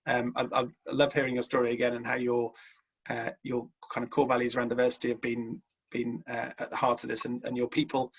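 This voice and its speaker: Male online panel moderator, slightly husky, even-pitched, halting and conversational. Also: rough recording. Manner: hesitant, halting with repeated words. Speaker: event host and moderator.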